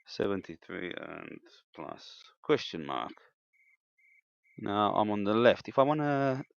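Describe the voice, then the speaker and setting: A man giving an unscripted hands-on equipment demonstration as a hobbyist, thinking aloud in a hesitant, halting way. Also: fairly low pitch, creaky. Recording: dull, lo-fi, echoey room sound.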